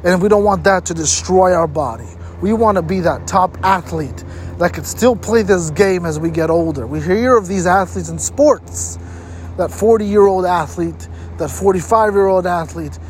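A man with a noticeably high voice delivers motivational advice straight to his listeners. He leans on key points and repeats them for emphasis. The recording carries outdoor noise, with traffic and ambient sound around him. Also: normal speed, low-quality recording. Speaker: host giving health tips. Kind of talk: motivational advice talk to camera.

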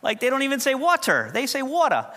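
A man's voice: very high voice, huge pitch swings, fast, bright, forceful tone. New pastor guy preaching, chatty and jokey, voice rings out in a big hall. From New York. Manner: conversational, humorous storytelling. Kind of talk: sermon. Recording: long hall reverb.

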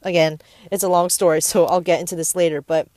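A nineteen-year-old woman from the Bay Area chats casually, drifting between thoughts with plenty of "um" and "like." Her voice sits a little low for a young woman. It comes through earbud mics in a parked car, close and dry with no room sound. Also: level tone, fast, darkish tone, no room sound. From San Francisco Bay Area. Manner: rambling, easily distracted, frequent fillers. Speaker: podcast host. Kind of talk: casual solo podcast chat. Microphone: wired earbuds mic into a tablet.

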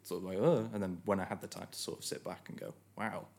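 A man's voice: deep voice, fast, gravelly. A young man with a Stoke-on-Trent accent chatting casually and telling anecdotes as a student guest on a podcast. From northern England, Stoke-on-Trent. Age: young adult. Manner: animated storytelling, quoting others.

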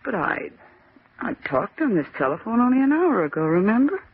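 A woman's voice: fairly high pitch, sing-song, brisk, husky, heavily acted. An actress playing a brash, sceptical young female typist in a 1940s radio thriller, wisecracking in slangy, emphatic, exasperated lines. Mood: uneasy. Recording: dull vintage radio recording.

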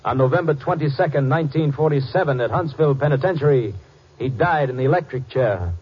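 A man's voice: on the high side, lively intonation, quick pace, husky, faint music behind. A man narrating a 1950s radio drama in a grave, formal, scripted style. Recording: lo-fi old radio broadcast.

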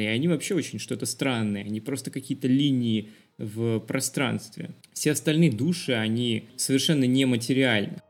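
A man co-hosting a podcast, reflecting on film in a philosophical, measured way. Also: mid-range voice. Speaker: podcast co-host.

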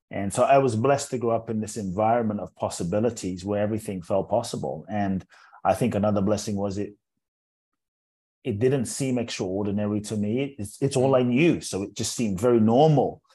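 A man in his forties who grew up in England speaks in a low, mellow and slightly husky voice. He tells his story as an interview guest, earnest and measured, circling back on words as his thoughts unfold. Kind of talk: reflective personal storytelling in an interview.

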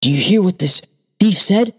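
Brief: gossipy storybook hangman, lifted pitch, voiced by a male storyteller. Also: animated, normal speed, fairly bright tone, breathy edge, heavily acted. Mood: eager. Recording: long hall reverb from a distance.

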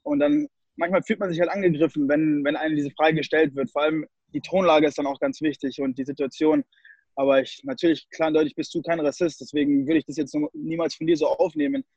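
A man's voice: a little high, flat delivery, quick pace, a little mellow, husky, dry recording, highs cut off. A young man from Germany, a guest in a casual interview, who slips English phrases into his German. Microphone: call recording.

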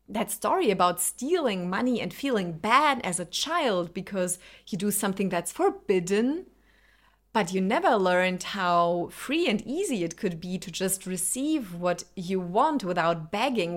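A woman, a native speaker of Lithuanian and Russian, talks in a mid-range voice. She delivers a personal, motivational monologue in an earnest way. She addresses the listener directly and leans on rhetorical questions. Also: medium pitch. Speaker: spiritual self-help speaker.

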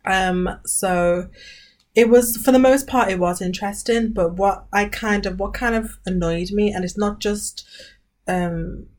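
A British woman, co-hosting a podcast and telling stories in a casual, animated chat with lots of ums. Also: medium pitch, measured pace. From Britain. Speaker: podcast co-host.

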